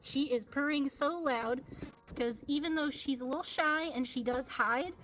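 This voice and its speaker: A woman's voice: sits high, normal speed, fairly bright tone, a little hiss or hum. A giggly, affectionate woman chatting casually as she hosts a live showcase stream. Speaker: cat cafe livestream host. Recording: dull lo-fi livestream audio. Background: faint music.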